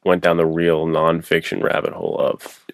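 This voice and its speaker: A man's voice: deep voice, monotone, moderate pace, gravelly, dry recording. A man co-hosting a podcast in casual, conversational chat. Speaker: podcast co-host.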